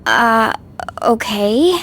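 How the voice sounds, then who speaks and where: High-voiced, flustered young woman. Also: wildly swooping pitch, very slow, a bit bright, full character voice. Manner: hesitant, stammering. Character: timid, flustered young woman, programmed and eager to please. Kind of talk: anime game voice acting. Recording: music behind the voice.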